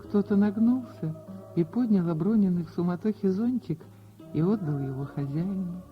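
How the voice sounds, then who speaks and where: A woman narrating a fairy tale for radio in a theatrical, expressive way, with dramatic pauses. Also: fairly low pitch. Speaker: storyteller, actor. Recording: dull radio recording with music behind. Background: music.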